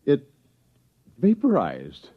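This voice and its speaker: A muffled vintage radio recording of an anxious, reasoning professor. His medium-pitched voice rises and falls freely, earnest and hesitant, in 1950s radio drama style. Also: huge pitch swings, drawn-out words, breathy edge, full character voice. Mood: uneasy. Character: worried, cautious, reasoning male professor and husband.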